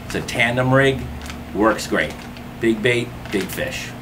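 A man's voice: mid-range voice, expressive, takes it slowly, noisy background. A middle-aged man giving an upbeat tackle shop fishing report from inside his store.

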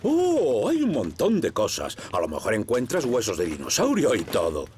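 A man's voice: mid-range voice, huge pitch swings, normal speed, a little mellow, slight background noise. A man playing a kindly, encouraging old grandfather in a Castilian Spanish children's cartoon, hearty and patient. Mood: cheerful.

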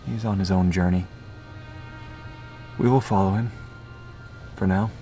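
A man's voice: low-pitched, steady tone, unhurried, warm tone, soft background music. A man playing an earnest, intense young man in an audio drama, solemn and persuasive as he rallies his companions. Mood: insistent.

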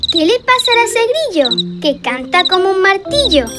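A woman's voice: extremely high pitch, sing-song, moderate pace. A woman playing a cute, bossy, curious baby girl in a children's cartoon, with a Spain Spanish accent and exclamatory childlike delivery. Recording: children's music behind the voice.

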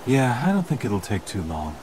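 An actor playing a serious, gentle young male ninja and older brother, reserved and apologetic, in an anime dub. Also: mid-range voice, very animated, moderate pace, a little hiss or hum, lightly acted. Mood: calm.

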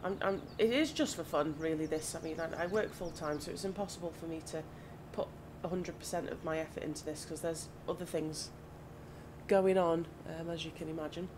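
A woman with a lowish, slightly croaky voice chats easily while playing, musing aloud. Clear background noise hums under her masked-desk-mic recording. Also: brisk. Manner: chatty, thinking aloud, relaxed. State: slightly croaky from hay fever. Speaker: gaming channel host. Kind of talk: casual gameplay commentary.

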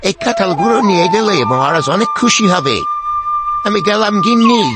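Man acting as cartoon village husband, excitable, giggly, very high-pitched for a male, loud music behind. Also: brisk. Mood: excited, bossy. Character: eager, excitable village husband in animal fable.

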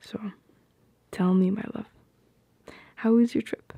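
A woman playing an affectionate, teasing girlfriend in an ASMR roleplay, soft and breathy, often slipping into whispers, close to the mic. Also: medium pitch, fairly even intonation, dry recording. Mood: tender, apologetic.

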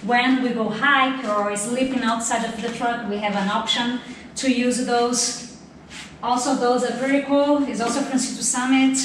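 A woman's voice: fairly high pitch, steady tone, moderate pace. Woman in an echoey room. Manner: chatty, with non-native phrasing. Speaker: vlogger. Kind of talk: casual gear walkthrough. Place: large tiled room indoors. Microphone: camera mic from a few meters off. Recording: echoey room, distant camera mic, noisy.

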